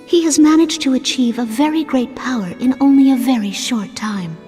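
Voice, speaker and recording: A woman playing a gracious young princess in a video game, speaking in a formal, solemn and gentle way. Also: high-pitched, expressive, faint music behind. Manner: formal, measured, ceremonious. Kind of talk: video game cutscene acting. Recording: long hall reverb.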